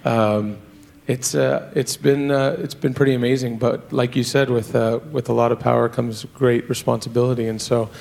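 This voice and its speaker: A man on a church stage, hoarse from days of yelling, giving a halting update full of ums and uhs. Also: medium pitch, steady tone. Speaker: church volunteer leaving to train as a sheriff.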